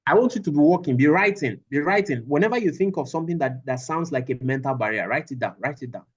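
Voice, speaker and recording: A man's voice: on the high side, animated, quick pace, slightly rough, dull audio. A man teaching a class over a video call, repeating his phrases for emphasis. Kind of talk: online class lecture.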